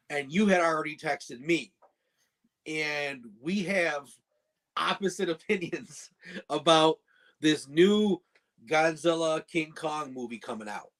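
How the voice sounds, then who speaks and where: A man with a slightly higher voice than most, co-hosting a laid-back podcast chat. He is agreeable and easygoing, often echoing his partner and laughing along. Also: animated, unhurried, a bit bright. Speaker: podcast co-host. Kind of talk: casual chat.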